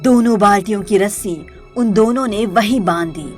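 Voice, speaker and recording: A woman reading scripted narration for an animated moral story as its storyteller. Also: medium pitch, normal speed, soft background music.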